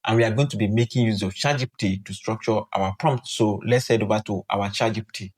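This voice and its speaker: A man's voice: fairly low pitch, quick pace, darkish tone, breathy edge. A man presenting a casual tutorial, speaking directly to viewers in a reassuring, repetitive way. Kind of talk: casual screen-recorded tutorial.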